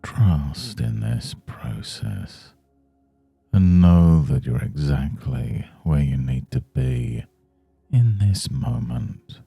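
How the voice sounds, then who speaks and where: Man, meditation guide, extremely dark mellow tone, creaky low fry, soothing and unhurried delivery. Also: lively intonation, no room sound, soft background music. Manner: soothing, measured, hushed instruction. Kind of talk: guided Yoga Nidra meditation.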